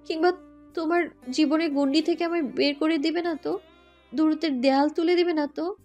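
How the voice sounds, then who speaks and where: A woman's voice: sits high, fairly even intonation, fairly quick, a little mellow, faint music behind. A woman playing a tender, anxious young wife in a romantic audio story, pleading and emotional. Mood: tearful pleading.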